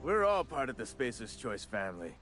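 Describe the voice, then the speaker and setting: An actor playing a folksy, shady undertaker in a game, drawling and conspiratorial, with music behind him. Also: male voice, medium pitch, big pitch swings, brisk, a bit bright. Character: folksy undertaker man, middle-aged, shady and businesslike. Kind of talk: game dialogue. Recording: game audio with background music.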